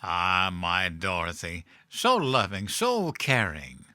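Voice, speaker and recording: Man playing a bright, pompous, oblivious President. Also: low-pitched, huge pitch swings, takes it slowly, no echo, clean studio sound, heavily acted. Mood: smug, fond. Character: cheerfully oblivious, dim, pompous male US President. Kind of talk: comedic audio drama acting.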